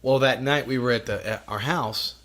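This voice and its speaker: A man's voice: medium pitch, normal speed, fairly bright tone. A man in his forties hosting a podcast, chatting casually with a profane, self-deprecating humor. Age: 40s. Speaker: podcast host. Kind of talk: casual chat.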